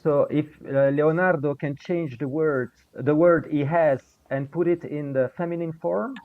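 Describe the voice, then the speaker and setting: A man's voice: a little high, steady tone, measured pace. Man, muffled call audio. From Belgium. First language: French. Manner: hesitant, searches for English words. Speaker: guest. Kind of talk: casual chat on a video call.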